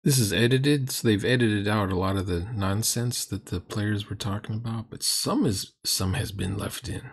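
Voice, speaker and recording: A man's voice: lowish voice, normal speed, husky. A middle-aged man giving casual, critical commentary on a game recording, exasperated, mocking and emphatic. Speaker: host reacting to a game recording. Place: dim room indoors.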